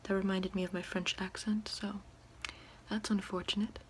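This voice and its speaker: A woman doing ASMR in a soft, breathy whisper, sounding out words one at a time. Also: mid-range voice, level tone, normal speed, slight background noise. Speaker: ASMR creator reading a French dictionary. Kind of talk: ASMR whispered word-by-word reading.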